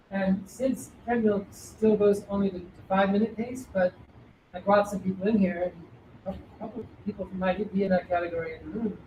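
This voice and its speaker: A middle-aged man, a running coach, talking animatedly to a live audience and asking them questions. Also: high-pitched. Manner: animated, asks the audience, jokey. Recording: roomy hall, distant voice, faint noise.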